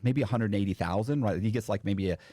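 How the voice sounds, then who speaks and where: Low-voiced man telling a casual story. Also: quick pace, warm tone, no room sound, clean studio sound. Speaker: interview guest recounting past fraud.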